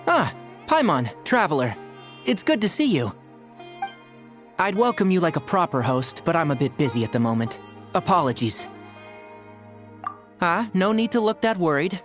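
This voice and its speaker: A man's voice: sits high, sing-song, normal speed, long pauses, a bit bright, faint music behind. A man playing a polite, caring young forest healer in a video game, composed and precise in speech. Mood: polite, reassuring. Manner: courteous, precise.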